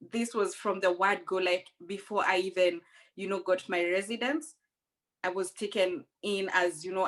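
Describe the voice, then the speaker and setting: Woman guest, young adult, earnest, repeats words as she talks, mid pitch. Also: fairly bright tone. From Rwanda, raised in Kenya. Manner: earnest, frequent restarts. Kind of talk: conversational interview.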